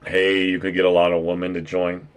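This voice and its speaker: A man with a naturally deep voice hosting a casual stream chat, joking, swearing and laughing often. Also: monotone, brisk, smooth. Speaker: streamer and podcast host.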